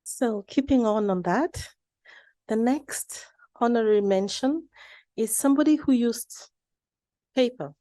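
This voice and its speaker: A woman's voice: on the high side, a little slow, pauses often, warm tone, dry recording. A woman presenting at an award ceremony, moving between informal remarks and a prepared introduction of an honoree. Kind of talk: semi-scripted award introduction.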